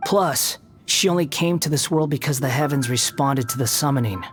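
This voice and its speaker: An actor playing an aloof, proud young wandering god in a video game, speaking with a cool, dry, mocking delivery. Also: male voice, medium pitch, normal speed, music in the background, close to an everyday voice. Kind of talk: video game cutscene dialogue.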